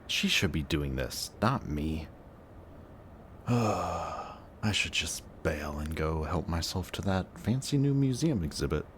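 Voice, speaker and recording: A very deep, slow-talking young man acting as a sardonic villain from an audio drama. He is cocky and teasing, with muttered casual asides and a kinder streak underneath. Faint noise sits behind the voice. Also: lively intonation. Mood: grumbling, bored. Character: cocky male antihero villain, sarcastic, teasing, secretly kind.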